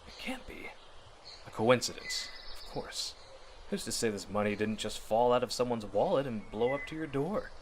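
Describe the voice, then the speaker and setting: A man giving a dramatic, deliberate, suspenseful narration of a scripted horror story in the manner of old radio drama, addressing the listener directly. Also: mid-range voice, lively intonation, a little hiss or hum.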